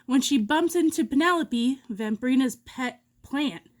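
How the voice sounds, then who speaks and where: A woman reading a children's storybook aloud in an expressive, dramatic way. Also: high voice, unhurried. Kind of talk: animated storybook read-aloud.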